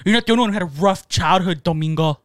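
A young man streaming casual chat, teasing his friend with jokes and loud swings in volume. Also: high-pitched, racing, no echo, studio quality.

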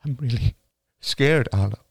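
A defensive young woman , played by a male narrator in an audiobook. She sounds anxious and emotional, lifted in pitch and swinging widely, pleading in halting, slow phrases. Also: big pitch swings. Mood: pleading, frightened.

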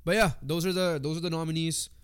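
A man's voice: a little high, fairly even intonation, fast, smooth. A man co-hosting a sports podcast with a congested voice, chatting informally and swearing casually. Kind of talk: casual sports chat, reading lists and predictions.

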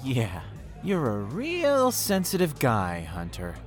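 A man playing an earnest, soft-hearted young man in love, in an audio drama. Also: medium pitch, wildly swooping pitch, slow pace, faint music behind. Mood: dejected. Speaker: voice actor.

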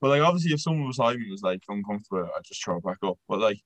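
A man's voice: fairly low pitch, lively intonation, racing, no echo. A young man co-hosting a podcast in loose, sweary casual chat. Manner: loose and sweary, laughs often. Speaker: podcast co-host.

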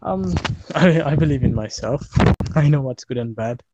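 A man's voice: mid-range voice, normal speed. Easygoing young man on a dull lo-fi call. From Sri Lanka. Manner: relaxed, hesitant, laughs a lot, many fillers. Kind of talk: casual chat. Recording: dull lo-fi online call audio with faint noise.

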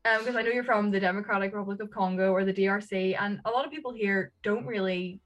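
A woman's voice: medium pitch, fast. A woman hosting a podcast, asking her guest questions in a casual, chatty way. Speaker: podcast host. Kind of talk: casual interview chat.

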